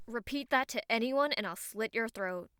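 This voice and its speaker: A woman's voice: fairly high pitch, normal speed, bright, forceful tone, clean tone, dry recording, clean studio sound. A woman voicing a smirking, foul-mouthed young succubus with a brash, teasing manner in an audiobook. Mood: defensive, brash.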